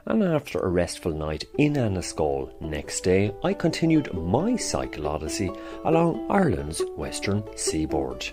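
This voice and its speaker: A man's voice: low-pitched, huge pitch swings, unhurried, over music. A man presenting a travelogue radio documentary, interviewing guests with enthusiastic, rambling questions.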